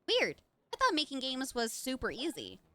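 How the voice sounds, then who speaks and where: A woman voicing an excitable, enthusiastic young woman character in a visual novel, with an eager, gushing manner. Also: high-pitched, normal speed, dry recording, heavily acted. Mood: excited.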